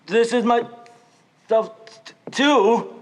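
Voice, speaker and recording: A student actor playing a gentle, earnest, deformed young man who stammers and speaks haltingly in a stage play. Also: mid-range voice. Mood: shy, earnest. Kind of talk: high school stage play acting. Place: school theater stage. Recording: echoey theater hall.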